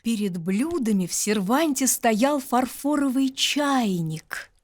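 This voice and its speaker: A woman narrating a children's bedtime story about household dishes in an expressive, playful way. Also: fairly high pitch, slow. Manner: lively, playful. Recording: studio reading over music.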